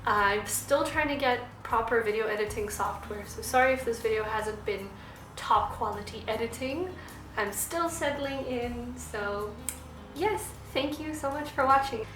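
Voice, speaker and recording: Hoarse young woman vlogging chattily. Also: a little high, measured pace, noisy background. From South Africa. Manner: chatty and upbeat, with laughs. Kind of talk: casual vlog talk to camera. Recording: echoey room.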